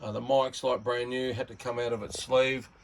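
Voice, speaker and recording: Gravelly man, rambling radio vlog. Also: medium pitch, flat delivery, normal speed, a little hiss or hum. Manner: rambling, enthusiastic, many fillers. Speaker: radio hobbyist vlogger. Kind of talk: casual show-and-tell vlog.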